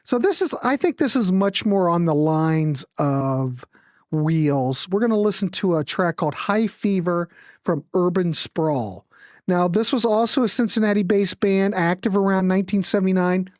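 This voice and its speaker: A woman's voice: on the low side, lively intonation, normal speed, darkish tone, no echo, studio quality. A woman hosting a music show in casual chat, introducing and commenting on records in an informal way, as if thinking aloud. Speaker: host of a music livestream.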